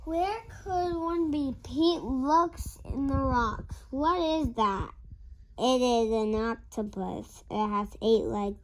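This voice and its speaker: A young girl reading a picture book aloud in a halting, word-by-word way. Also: high voice, slight background noise. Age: child. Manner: halting, word-by-word early-reader delivery. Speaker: young reader.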